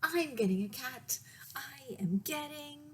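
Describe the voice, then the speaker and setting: A woman voicing an eager, pleading, excitable child from a storybook who longs for a cat. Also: sits high, measured pace, slight background noise, voice pushed up. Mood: excited, singsong. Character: eager child begging for and thrilled about a cat.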